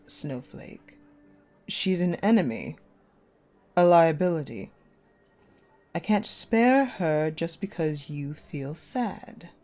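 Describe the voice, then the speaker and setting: A woman playing a cold, possessive ice-queen general in a dark romance audio roleplay, commanding and menacing. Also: deep voice, exaggerated voice. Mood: cold anger. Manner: commanding, menacing, slow and deliberate, dropping to hushed.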